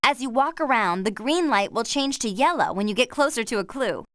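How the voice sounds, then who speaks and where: A woman's voice: fairly high pitch, lively intonation, brisk, a bit bright, no echo, clean studio sound. An actress playing a friendly, confident young woman who guides the player in a children's game, in a helpful, instructive manner. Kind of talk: scripted children's game voice acting.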